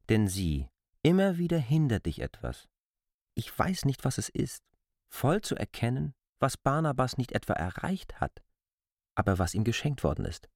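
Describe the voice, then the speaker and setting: An earnest stranger to a village in a radio play. His voice is fairly low and recorded dry and close. He argues insistently, building long, deliberate chains of reasoning. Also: dry recording. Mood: earnest, argumentative. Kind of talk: literary radio drama acting.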